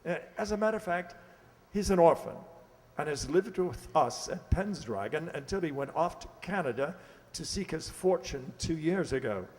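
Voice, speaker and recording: A man's voice: a little high, lively intonation, normal speed, a little mellow, close to an everyday voice. Man playing a fussy, flustered lord in an echoey hall. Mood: earnest, storytelling. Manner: hesitant, fussy, stammering. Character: flustered aristocratic father, an English lord. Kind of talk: radio play acting. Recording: echoey hall, long reverb.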